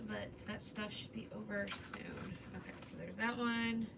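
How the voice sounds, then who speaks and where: Soft-voiced woman crafting live. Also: mid-range voice, fairly even intonation, measured pace, vocal fry. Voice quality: soft, called relaxing. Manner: chatty, greets viewers while working. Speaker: craft livestream host. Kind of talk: casual crafting livestream. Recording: distant mic with background noise.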